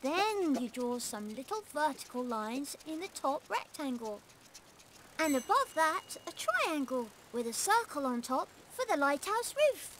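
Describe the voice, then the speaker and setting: A woman voicing a calm, helpful young male rabbit in a children's cartoon, who patiently explains how to draw step by step. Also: high voice, unhurried, noisy background.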